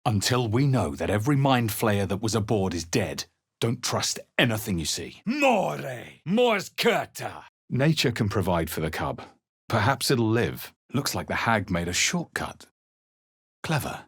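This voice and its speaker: A man playing a wary, brooding fantasy adventurer in a video game, with a husky voice and a grave, measured delivery. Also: lowish voice, sing-song, fairly bright tone, dry recording, studio quality, full character voice. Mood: wary, musing, then fierce incantation. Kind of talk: dramatic fantasy game narration and character lines.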